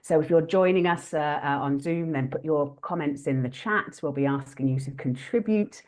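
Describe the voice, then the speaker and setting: A woman hosting an interactive online teaching workshop, talking in a friendly, lively way with many fillers and restarts. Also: low-pitched, normal speed. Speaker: workshop host.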